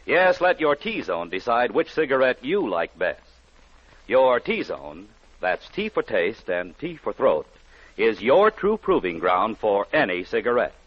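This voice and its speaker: Male commercial announcer, emphatic, very lively intonation, husky, muffled 1940s radio sound. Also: mid-range voice, measured pace. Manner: emphatic, polished salesmanship.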